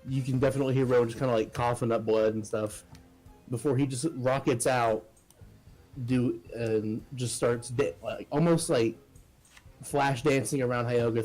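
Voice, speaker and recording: Halting man playing a tabletop game. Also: medium pitch, darkish tone, poor audio. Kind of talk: casual game chat, describing character moves.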